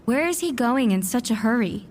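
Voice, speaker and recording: A woman's voice: a little high, lively intonation, normal speed, darkish tone, slight background noise, natural voice. A woman acting a young, self-assured warlock student who narrates and speaks in a fan audio drama. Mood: narrating.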